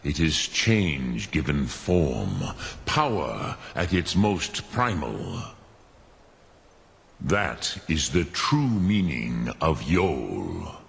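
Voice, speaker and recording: A man voicing an ancient, wise dragon mentor in a fantasy video game, gravelly, ponderous and musing, with pauses and dragon-tongue words. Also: very deep voice, big pitch swings, a bit bright, exaggerated voice.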